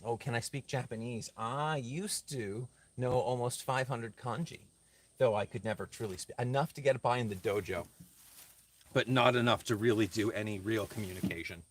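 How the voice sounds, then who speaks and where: A man's voice: medium pitch, moderate pace. Reflective man chatting on a livestream. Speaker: craftsman livestreaming to viewers. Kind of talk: casual livestream chat, answering viewer comments.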